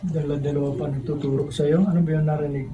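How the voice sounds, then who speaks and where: A man's voice: on the high side, fairly even intonation, quick pace, dull audio. A man with a Filipino accent in casual behind-the-scenes studio chat. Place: radio drama recording studio. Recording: distant mic, clear background noise.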